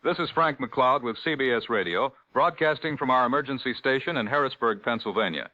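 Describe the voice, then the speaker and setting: A man's voice: medium pitch, brisk, pushed and bright, natural voice. A man playing a grave 1940s radio news anchor with a formal, dramatic announcer delivery. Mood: grave, urgent. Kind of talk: 1940s radio newscast acting. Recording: dull lo-fi vintage radio broadcast.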